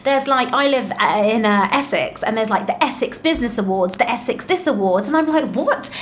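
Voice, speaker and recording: Chatty woman podcaster, highish voice. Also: animated, fairly quick. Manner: chatty, informal, effusive. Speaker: podcast host. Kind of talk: casual podcast interview. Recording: clearly noisy background.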